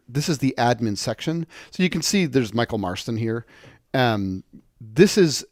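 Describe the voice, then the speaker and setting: A man giving an unscripted software walkthrough, explaining step by step and often rephrasing his points. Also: mid-range voice, no room sound, studio quality. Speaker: software tutorial presenter. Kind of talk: unscripted screen-share walkthrough.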